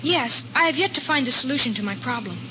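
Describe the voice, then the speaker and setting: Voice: sits high, expressive, fast talker. A performer playing a solemn, polite, precocious young boy with formal, precise speech in an old radio comedy. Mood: polite, earnest. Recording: muffled, noisy old radio recording.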